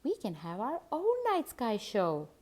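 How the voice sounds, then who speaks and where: A woman narrating a children's picture book as host, in an expressive, deliberate storytelling manner. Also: on the high side. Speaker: host and read-aloud narrator.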